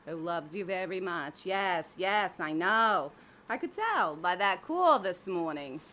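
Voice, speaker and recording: A woman's voice: lowish voice, expressive, takes it slowly, pushed and bright, exaggerated voice. A woman voicing a brash, scornful, persuasive magic hat in an audio drama, sardonic and theatrical. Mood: reassuring, insistent. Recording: faint background noise.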